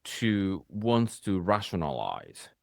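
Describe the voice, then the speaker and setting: A man with a low voice and a Japanese accent teaches in an easy, reflective way. His voice often lifts in short bursts and then settles. The sound is dry and close, with little room around it. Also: wildly swooping pitch, takes it slowly, creaky, a little mellow, no echo. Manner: speaks in rising bursts, often ends with "right?". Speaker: spiritual coach hosting a conversation.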